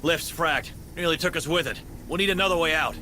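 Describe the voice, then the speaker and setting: A man playing a gruff, cocky marine grunt in a video game, slangy and tough-talking. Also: a little high, a little fast, pushed and bright, slight background noise. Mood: wary, threatening. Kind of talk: video game dramatic acting.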